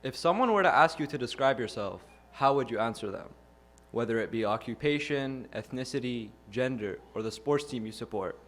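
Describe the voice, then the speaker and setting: Young guy from iraq giving a youth talk, earnest and measured, middle pitch. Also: animated, fairly bright tone. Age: young adult. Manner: measured, earnest delivery with rhetorical questions. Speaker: youth speaker. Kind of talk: prepared speech.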